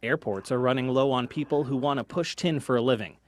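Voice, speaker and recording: Mid-pitched male news package narrator. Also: fairly quick, background noise. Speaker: TV news reporter.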